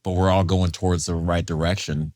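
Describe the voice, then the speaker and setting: A man's voice: low-pitched, a little fast, dry recording, studio quality. A man telling stories animatedly as a guest on a casual panel talk show, acting out quotes. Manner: animated storyteller, acts out voices.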